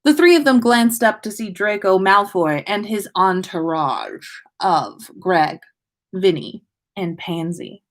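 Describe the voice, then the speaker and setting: Slow-paced woman narrating a story. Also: mid-range voice, animated. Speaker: podcast host reading listener stories.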